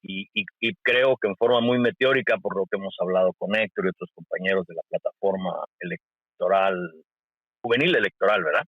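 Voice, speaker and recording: A man hosting an interview, asking questions in a halting way with many restarts. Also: low-pitched, slightly rough, no room sound. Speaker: interviewer, host. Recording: dull lo-fi call audio.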